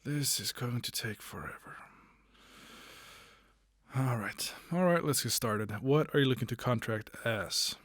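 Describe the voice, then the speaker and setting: A man's voice: mid-range voice, a bit bright, no room sound, clean studio sound. A man playing a weary, brusque army major with an official manner in an audio drama. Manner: brisk, official. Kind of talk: scripted post-apocalyptic radio drama.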